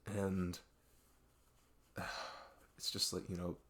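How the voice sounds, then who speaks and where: A man playing a young best friend who confides in a halting, rambling, exasperated way in an ASMR audio roleplay. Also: low-pitched, monotone, a bit bright, full character voice. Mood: frustrated, rambling. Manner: rambling, halting, exasperated sighs and nervous laughs. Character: young male best friend venting about arguing with parents.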